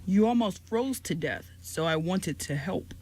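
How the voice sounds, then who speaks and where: An actor playing a gentle, lonely male giant in an amateur radio play, speaking in careful, formal phrases. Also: mid-range voice, expressive, unhurried. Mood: apologetic, reassuring.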